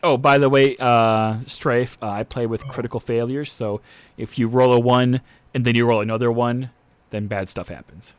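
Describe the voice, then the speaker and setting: Young male game master, halting delivery, dry close recording. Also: mid-range voice, steady tone, clean tone, dry recording. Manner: hesitant, lots of uh and restarts. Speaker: dungeon master. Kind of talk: narrating a tabletop game.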